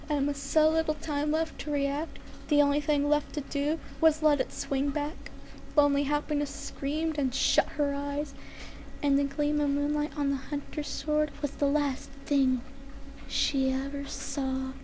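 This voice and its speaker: A woman reading a story aloud dramatically, in a deliberate and careful way. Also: high-pitched, dark tone, a little hiss or hum, rough recording. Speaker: fan fiction reader.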